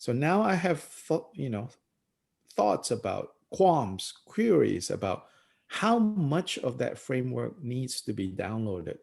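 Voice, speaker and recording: A middle-aged man from Malaysia, a Buddhist teacher talking through ideas in a thoughtful, unhurried way, with many pauses, "you know"s and frequent chuckles. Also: medium pitch, sing-song, creaky, a little mellow, breathy edge. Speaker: Buddhist teacher leading a book study. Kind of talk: reflective discussion talk.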